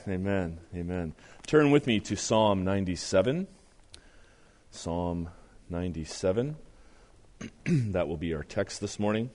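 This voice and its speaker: A man preaching a sermon as pastor, his voice strained and deeper than usual, reading scripture with earnest, rising emphasis. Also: lively intonation, measured pace, long pauses, a little mellow.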